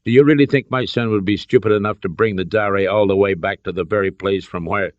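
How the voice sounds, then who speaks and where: A man's voice: fairly low pitch, steady tone, fast, no room sound, lo-fi sound, close to an everyday voice. A man voicing an indignant, exasperated old father, a professor, in a storybook reading. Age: older.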